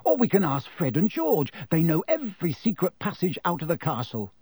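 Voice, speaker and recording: A man voicing an impulsive, excitable young schoolboy with a casual way of talking in an audiobook. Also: fairly high pitch, normal speed, husky, dry recording, voice pushed up, heavily acted. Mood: indignant, spirited.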